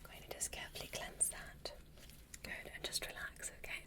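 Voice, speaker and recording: A woman whispering softly in an ASMR medical roleplay, giving soothing, reassuring and unhurried instructions as an examiner. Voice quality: breathy whisper. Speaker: ASMR performer.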